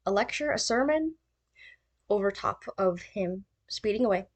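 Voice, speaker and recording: A woman's voice: medium pitch, animated, moderate pace. A woman giving casual theory commentary as a video essayist, thinking aloud in an informal, tentative way.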